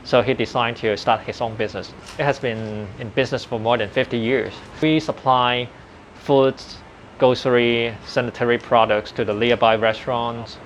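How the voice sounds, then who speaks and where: A man in his forties with a Hong Kong English accent, earnestly recalling his past in an unhurried interview with loose grammar. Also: medium pitch, fairly even intonation, slight background noise. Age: 40s. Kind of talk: personal reminiscence in interview. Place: old building where his family lived and worked.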